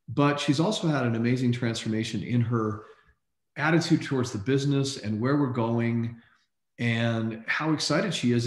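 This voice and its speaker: A man's voice: mid-range voice, darkish tone, roomy sound. A man hosting a group call, casually introducing and chatting. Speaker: host of a team call.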